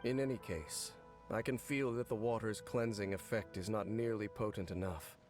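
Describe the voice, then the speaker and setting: Man acting a low-voiced, weary cursed wanderer. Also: steady tone, natural voice. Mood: grave, calm. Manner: measured, formal, grave speech. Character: weary, stoic, five-hundred-year-old cursed man. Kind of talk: scripted video game voice acting. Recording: game audio with music underneath. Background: game music.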